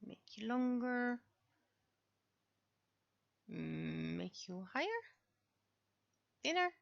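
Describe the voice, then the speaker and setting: Creaky-voiced woman muttering on a livestream. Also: fairly high pitch, sing-song, extremely slow, lo-fi sound. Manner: talking to herself in short fragments. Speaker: livestreamer, VTuber artist. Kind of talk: casual livestream chat, muttering through setup.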